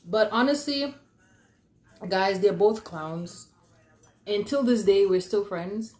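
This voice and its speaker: A young adult woman telling stories casually and conversationally, animated and giggly, acting out what people said. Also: medium pitch, takes it slowly. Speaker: podcast host telling stories. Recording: echoey, roomy sound.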